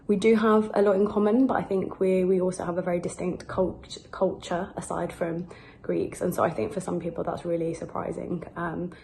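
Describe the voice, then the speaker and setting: A woman explaining in an interview, in an even, mildly amused way. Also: lowish voice, moderate pace, gravelly, slight background noise.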